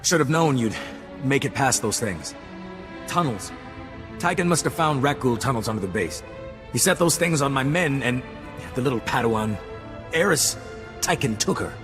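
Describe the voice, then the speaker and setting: A man's voice: medium pitch, animated, moderate pace, pauses often, music in the background, close to an everyday voice. A man playing an embittered, urgent military captain in a game. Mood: bitter anger.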